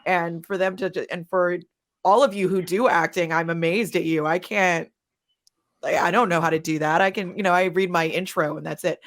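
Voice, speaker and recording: A woman's voice: fairly low pitch, fairly even intonation, moderate pace. A woman talking casually as a panelist, telling stories from her own work and acting out little quotes. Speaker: panelist, audio drama creator.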